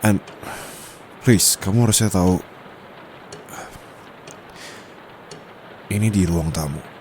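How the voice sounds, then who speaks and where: A stern young male tutor played by a man with an Indonesian accent and a deep, low voice. He speaks firmly and formally in an intimate ASMR roleplay style, slipping into English phrases with a dominant edge. Faint noise sits behind the voice. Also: normal speed, darkish tone, natural voice. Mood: flustered firm. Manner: firm, scolding, formal, slips into English phrases. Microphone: close condenser microphone.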